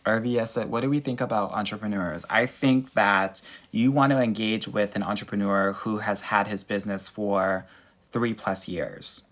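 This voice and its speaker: A young man from Southern California, a dating coach answering viewer questions on a live stream, sassy and animated, calling listeners girl and honey. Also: fairly low pitch, moderate pace. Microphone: phone.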